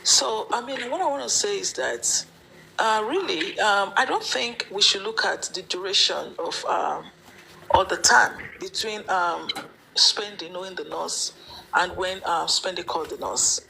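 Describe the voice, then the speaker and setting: A woman's voice: mid-range voice, expressive, unhurried, vocal fry, sharp tone, low-quality recording. A woman giving her opinion in an online discussion, emphatic and lecturing, with many fillers. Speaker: panelist.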